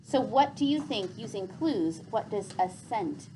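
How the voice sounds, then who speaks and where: A woman teacher reading a story aloud in a classroom, explaining and asking questions in clear phrases. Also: mid-range voice, animated, unhurried. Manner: clear explanatory phrasing, repeats pupils' answers. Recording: faint classroom background noise.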